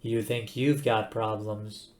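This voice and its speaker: A man's voice: medium pitch, fairly even intonation, slight background noise, lightly acted. A man voicing a weary, depressed male robot who talks down to everyone. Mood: gloomy. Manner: slow, weary and condescending. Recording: roomy echo.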